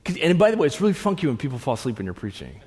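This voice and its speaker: A man in his thirties, a pastor preaching a sermon to a room, conversational with asides, swinging from loud bursts to hushed lines. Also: fairly high pitch, big pitch swings, very fast. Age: 30s. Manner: conversational with frequent restarts and asides. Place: church hall with an audience. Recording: long reverb of a large hall.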